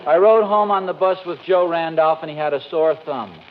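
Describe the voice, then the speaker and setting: Lightly high, grumbling radio husband, played by an actor. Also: male voice, a little fast, close to an everyday voice. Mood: exasperated. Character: distracted, grumbling mild suburban husband. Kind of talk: 1940s radio sitcom acting. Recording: dull, noisy old radio recording.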